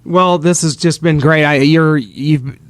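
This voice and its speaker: A man's voice: fairly high pitch, fairly even intonation, a little slow, no room sound. A man hosting a podcast interview, chatty and chummy, with lots of "uh" fillers. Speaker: podcast host. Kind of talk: casual interview.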